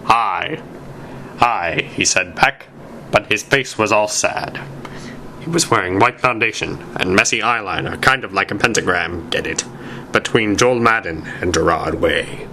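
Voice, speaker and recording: A man reading a story aloud as narrator, with deliberate, emphatic, amateur dramatic delivery. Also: on the low side, sharp tone, slight background noise.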